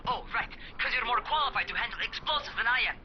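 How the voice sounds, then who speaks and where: A man's voice: very high voice, lively intonation, fast talker, lo-fi sound, noisy background. A man playing a hot-headed, defiant young survivor in a zombie video game cutscene. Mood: defiant, rattled.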